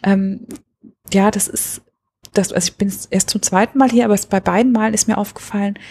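A woman's voice: mid-range voice, steady tone, a little fast, vocal fry, a little mellow, breathy edge. A woman interviewing someone in a casual way, thinking aloud and searching for her words as she goes.

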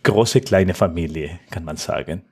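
Argentine man, low voice, halting German. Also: a little mellow, breathy edge. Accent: Argentine Spanish. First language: Spanish. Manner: halting non-native German, searching for words. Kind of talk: conversational interview answers.

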